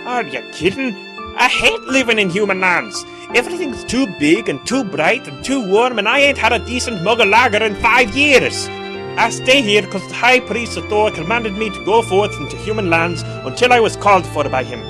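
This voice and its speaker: A man's voice: sits high, animated, normal speed. A man voicing a stern, dutiful male dwarf cleric in a cartoon fandub. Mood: indignant. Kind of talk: animated comic fandub acting. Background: prominent music.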